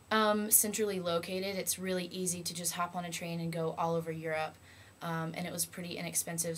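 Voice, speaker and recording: A woman's voice: lowish voice, slight background noise. A young woman college student from Georgia talking casually and with enthusiasm about studying abroad, with frequent "um" fillers. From Augusta, Georgia. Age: young adult. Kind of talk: casual interview testimony. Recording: roomy echo with faint background music.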